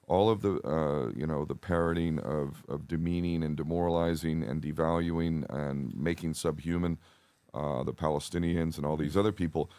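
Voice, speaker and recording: Very deep-voiced man, earnest podcast guest. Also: fairly even intonation, moderate pace, warm tone, no echo. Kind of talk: conversational interview, storytelling.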